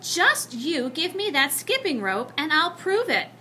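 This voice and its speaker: A woman's voice: high voice, very animated, unhurried, sharp tone, a little hiss or hum, voice pushed up, heavily acted. A woman voicing an earnest, aggrieved schoolboy in a children's storybook, with a pleading, protesting manner. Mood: pleading, reproachful. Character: earnest, aggrieved schoolboy who turns things to chocolate.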